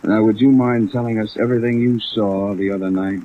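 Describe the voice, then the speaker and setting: A man's voice: fairly low pitch, brisk, close to an everyday voice. An actor playing a seasoned police lieutenant in a 1950s radio drama, matter-of-fact, methodical and dry in his plain-spoken talk. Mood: questioning. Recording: tinny phone-like old radio recording, faint hiss. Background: steady rain.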